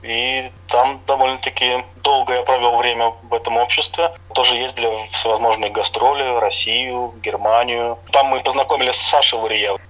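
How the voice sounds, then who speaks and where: A middle-aged man from Latvia, a chef, answering interview questions in an unhurried, plain way with soft hedging fillers. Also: mid-range voice, fairly even intonation, low-quality recording.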